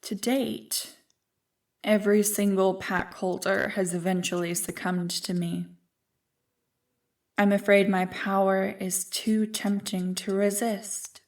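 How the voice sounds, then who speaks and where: A woman voicing an ancient, evil primordial being in an ASMR roleplay, smug and tempting, with a deliberate, taunting delivery. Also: medium pitch, little pitch movement, a little mellow, recorded from a distance. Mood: sly, commanding. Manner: slow, deliberate, taunting, coolly amused.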